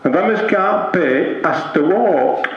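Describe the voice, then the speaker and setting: An obstinate old boatman, played by a man in a comic stage monologue. He speaks in slow, deadpan, rhythmic refrains and will not budge. The room is very reverberant and the sound slightly dull. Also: high-pitched, heavily acted. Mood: stubborn, matter-of-fact. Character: stubborn old ferry boatman, curt and unbudging. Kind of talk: comic dialect verse monologue. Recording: muffled, very echoey live room.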